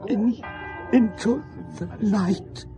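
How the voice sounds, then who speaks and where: A man playing a gravely injured dying man, straining breathlessly to whisper out a secret in broken phrases. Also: sits high, over music. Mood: urgent, fading. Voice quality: breathy, halting.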